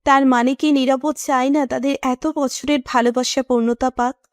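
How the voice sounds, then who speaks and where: A woman narrates a scripted romance. Her voice is high, and the recording is dry and close with hardly any room sound. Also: steady tone, normal speed, no room sound.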